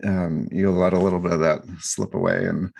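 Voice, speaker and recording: A man co-hosting a podcast, talking through his thoughts in a reflective, halting way with many restarts and fillers. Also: deep voice, flat delivery, gravelly, darkish tone. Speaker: podcast co-host. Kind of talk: reflective casual discussion.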